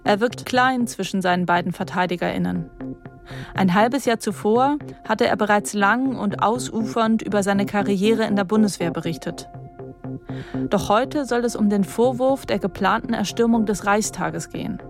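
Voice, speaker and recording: A woman reporter gives scripted documentary narration in a calm, matter-of-fact way. Her voice sits at a middle pitch, with faint music running underneath. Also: moderate pace, creaky.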